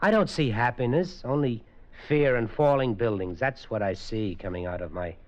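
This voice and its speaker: A man's voice: moderate pace, close to an everyday voice. An actor playing a thoughtful man with trained super senses, narrating and talking in a 1950s radio drama. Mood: earnest. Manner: earnest, thoughtful, lively in pitch. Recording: dull old radio broadcast with faint music.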